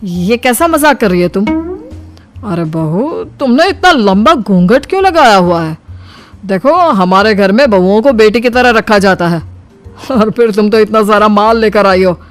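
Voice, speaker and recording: A woman's voice: mid-range voice, lively intonation, unhurried, faint music behind, natural voice. A woman playing a fussy, indignant middle-aged father-in-law in a cartoon moral story, questioning and complaining. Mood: puzzled, chiding.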